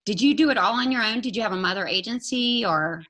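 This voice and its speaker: A woman's voice: mid-range voice, quick pace, fairly bright tone. A woman hosting a podcast interview, enthusiastic and exclamatory, often restarting her questions. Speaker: podcast host, modeling agent. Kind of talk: casual interview.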